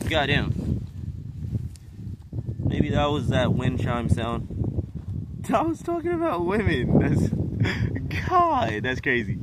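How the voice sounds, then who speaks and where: A man's voice: mid-range voice, very noisy. Rambling young man outdoors. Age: 20s. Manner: rambling, restless, trailing off. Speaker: vlogger.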